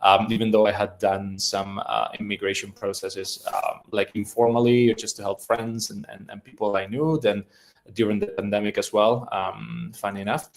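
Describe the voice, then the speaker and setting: Immigration consultant: a man from Argentina, fairly low voice, halting delivery with many fillers. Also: flat delivery, gravelly. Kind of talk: self-introduction on a webinar.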